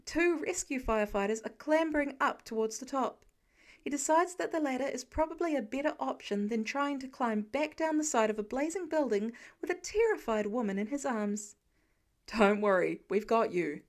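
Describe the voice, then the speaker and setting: A woman with a fairly high voice narrates a piece of fiction. She reads from a script in audiobook style, telling the story in the present tense. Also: expressive, moderate pace. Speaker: podfic narrator.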